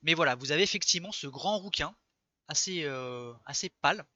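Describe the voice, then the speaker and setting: Bright, forceful man as game master. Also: on the high side, lively intonation, a little fast, dry recording, lo-fi sound. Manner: informal, conversational. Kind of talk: narrating a tabletop role-playing game in casual speech.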